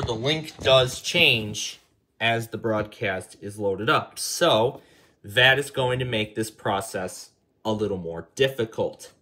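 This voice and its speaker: A man's voice: medium pitch, pushed and bright, a little hiss or hum. A man giving a casual hands-on tutorial as its host, thinking aloud as he works through the steps indoors. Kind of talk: casual hands-on app demonstration.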